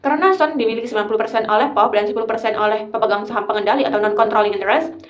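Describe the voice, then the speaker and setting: A woman's voice: a little high, very fast, slight background noise. A young woman giving an explanatory class presentation as a university student, partly reading it out. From Indonesia. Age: young adult. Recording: roomy echo.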